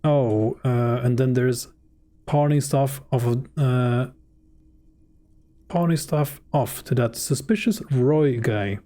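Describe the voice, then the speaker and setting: A man whose native language is Swedish chats casually while playing a game live. His delivery is slow and warm, and he jokes around relaxedly. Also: medium pitch. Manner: relaxed and joking, with frequent fillers. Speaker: streamer. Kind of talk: casual live gameplay commentary.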